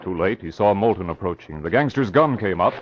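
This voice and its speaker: A man's voice: low voice, lively intonation, fairly quick. An American man narrating and announcing an old-time radio drama in a dramatic scripted style. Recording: dull old radio recording.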